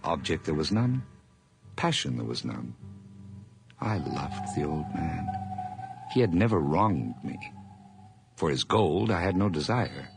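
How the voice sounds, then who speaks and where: A man playing a nervous, haunted madman drifter in a 1980s radio horror drama, halting and theatrical, dropping into whispers. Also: very deep voice, sing-song, creaky, over music, exaggerated voice. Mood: haunted, brooding. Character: nervous, haunted madman drifter and farmhand telling his tale.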